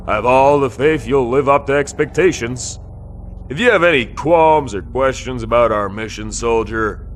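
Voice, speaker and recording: A gruff, mid-pitched veteran American colonel played by a male actor gives commands like a gung-ho old soldier in a war game. There is faint noise behind his stern, encouraging delivery. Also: a bit bright, lightly acted. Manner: gruff commanding military pep talk.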